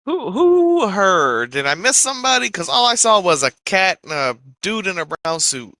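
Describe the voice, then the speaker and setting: Male tabletop player, casual profane banter, slangy, bright voice, lively pitch, dry sound. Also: normal speed, dry recording.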